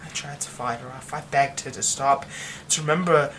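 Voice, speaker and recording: A man voicing a haunted young man hiding a werewolf curse, speaking in a hushed, halting way that often drops to a whisper. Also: mid-range voice, fairly even intonation, a little hiss or hum, natural voice. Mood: nervous, haunted. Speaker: ASMR roleplay performer. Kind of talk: ASMR horror roleplay.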